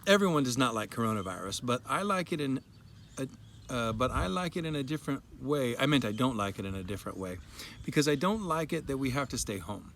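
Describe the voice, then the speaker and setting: A man's voice: medium pitch, sing-song, brisk, a bit bright, a little hiss or hum. A man giving a dramatic reading of a child's written complaint, with emphatic, plaintive delivery. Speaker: parent reading a child's story aloud.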